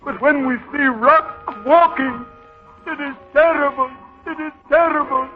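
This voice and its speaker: A man's voice: extremely high pitch, measured pace. An actor in a 1930s radio drama playing an awed male townsman who pleads reverently before those he takes for gods. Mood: awed, pleading. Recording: tinny 1930s radio broadcast with music.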